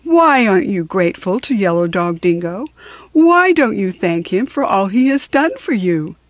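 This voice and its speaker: A woman voicing a lordly gentleman god in a storybook, formal and commanding. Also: medium pitch, sing-song, normal speed, no room sound, lightly acted. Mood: reproving.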